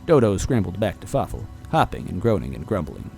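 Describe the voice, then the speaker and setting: A man narrating a fan-fiction story aloud in a playful, animated way. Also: low-pitched, brisk, gravelly, faint music behind.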